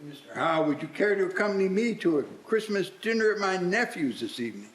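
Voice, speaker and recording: Man as old Victorian businessman, formal, earnest, rather high voice, live radio drama. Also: lively intonation, brisk. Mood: earnest, inviting. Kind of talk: live radio-play stage acting.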